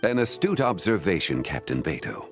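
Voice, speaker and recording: Game role: composed gentleman connoisseur, low-pitched, formal and eloquent, widely varied intonation, music underneath. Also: very animated, normal speed. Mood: composed, courteous. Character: composed, erudite, courteous male antiques connoisseur. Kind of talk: scripted video game dialogue. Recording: music behind the voice.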